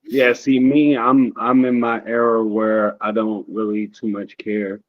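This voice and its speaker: A man co-hosts a podcast in a soft-spoken, laid-back way, with some hesitation. His voice sits on the low side. The audio is dull and dry, with little room sound. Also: level tone, measured pace, no echo. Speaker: co-host. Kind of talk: casual chat.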